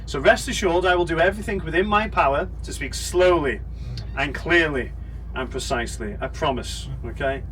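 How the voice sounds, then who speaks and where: Chatty Liverpool tour guide on a noisy bus. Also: male voice, on the high side, normal speed. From Liverpool, England. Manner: chatty, jokey, rallying the crowd. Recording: distant voice with loud bus noise.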